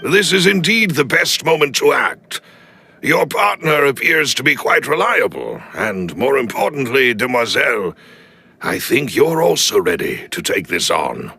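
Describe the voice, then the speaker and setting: An actor playing a loyal, deferential older male retainer who speaks gravely and formally in a video game cutscene. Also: medium pitch, big pitch swings, sharp tone, soft background music, heavily acted. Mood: grave, earnest. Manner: formal, measured, deliberate phrasing.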